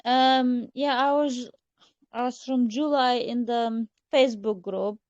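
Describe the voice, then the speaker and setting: A woman from Bulgaria talks softly and chattily on a group video call. Her voice sits a little high, and the call audio is dull and dry. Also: fairly even intonation, measured pace, no room sound.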